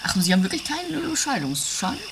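A man's voice: very high-pitched, big pitch swings, quick pace, exaggerated voice. A brusque, possessive new husband, acted out by a man talks in a curt, bossy way. The improvised radio comedy sits on a noisy homemade tape. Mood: suspicious.

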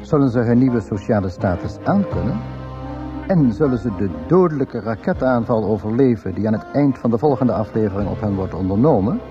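A man's voice: medium pitch, lively intonation, brisk. A man reading scripted narration as the announcer of a radio drama. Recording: dull radio recording over music.

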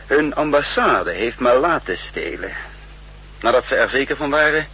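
An actor playing a shrewd, weary spymaster on the run in a 1960s radio drama, speaking deliberately and broodingly. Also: male voice, mid-range voice, pushed and bright, poor audio. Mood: urgent, resolute. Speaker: lead actor and first-person narrator. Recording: humming, hissy 1960s radio recording.

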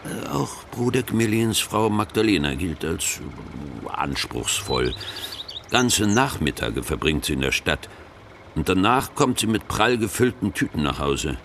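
A man's voice: deep voice, very animated, unhurried, vocal fry, a bit bright, slight background noise, exaggerated voice. A man acting a sanctimonious, evasive church official in a radio drama, speaking in a formal, unctuous preacher's manner. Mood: pious, aloof.

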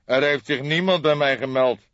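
A man's voice: mid-range voice, normal speed, fairly bright tone, pitched above the performer's own voice, natural voice. A man voicing a confused, defensive police desk sergeant in an audiobook. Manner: confused, emphatic. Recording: dull, roomy audiobook recording.

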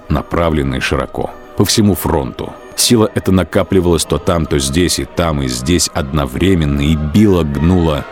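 A man giving a measured, dramatic literary narration of a war story for an audio drama. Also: very deep voice.

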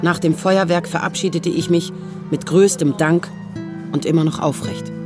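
A woman's voice: fairly low pitch, fairly even intonation, normal speed, natural voice. Actress as wry melancholy woman in her forties, dry delivery, audio drama, music behind her. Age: middle-aged. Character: melancholy, wry woman writer around forty. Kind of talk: literary first-person narration and acted dialogue in an audio drama.

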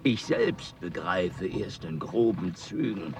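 A man acting a grumpy, arrogant aging mathematician in a radio play, gruff and scornful. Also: low-pitched, lively intonation, takes it slowly, recorded from a distance, noisy background, exaggerated voice. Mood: musing.

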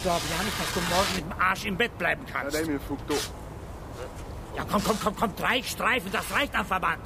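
A man's voice: sits high, normal speed, gravelly, noisy background. A male actor in a German radio drama playing a bullying, panicky forest foreman who barks orders in coarse, impatient slang. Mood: irritated, commanding. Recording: loud sound effects and music behind.

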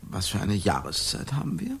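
An actor playing a frightened amnesiac with a head wound in a German radio crime drama, groggy, pained and halting. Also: male voice, fairly low pitch, wildly swooping pitch, vocal fry, fairly bright tone, heavily acted. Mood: dazed, confused. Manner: halting, stammering, pleading. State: groggy, in pain.

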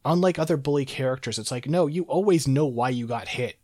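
Man, podcast host, sick, voice going, dry close sound, mid pitch. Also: brisk, darkish tone, dry recording. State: sick, losing his voice. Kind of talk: casual gaming podcast chat.

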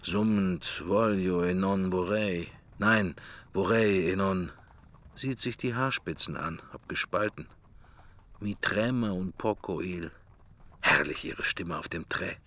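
A man's voice: deep voice, slow, a bit bright. An actor playing a wistful middle-aged man musing inwardly in clipped fragments, in a literary radio drama. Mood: hushed, wistful.

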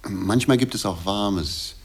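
An actor playing an awkward, reticent guest in a German radio play, answering tersely and evasively, with a husky voice. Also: male voice, lowish voice, slow, heavily acted. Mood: mildly defensive. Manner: terse, hesitant.

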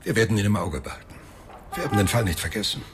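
A man playing an older police inspector in a radio play. His voice is low and his manner official and reserved. Sirens and noise are clear behind him. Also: expressive, racing, close to an everyday voice. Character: unhurried older police inspector near retirement. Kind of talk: radio drama acting.

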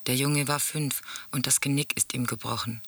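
An actor playing a sombre teller in a radio drama, reciting grim memories and letters in a solemn, measured, husky voice. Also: male voice, mid-range voice, steady tone, a bit bright, no room sound. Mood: guilty pleading.

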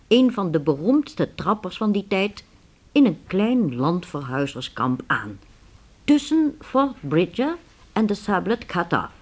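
A woman narrating a radio play in a measured, scripted storytelling style. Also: fairly low pitch, husky.

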